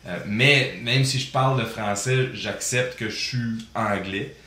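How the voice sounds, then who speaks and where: A man's voice: medium pitch, a little slow, pushed and bright. A man from Ontario co-hosting a podcast, chatting casually and hesitantly, often repeating words as he thinks. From Ontario, Canada. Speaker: podcast co-host. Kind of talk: casual chat. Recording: echoey room, faint background noise.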